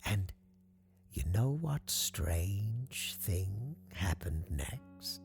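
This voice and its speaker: A man's voice: low voice, lively intonation, very slow, creaky, faint music behind, heavily acted. A man voicing a grandiose, obsessive circus owner in an audiobook, theatrical and rapturous, savoring each word. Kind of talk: audiobook character acting.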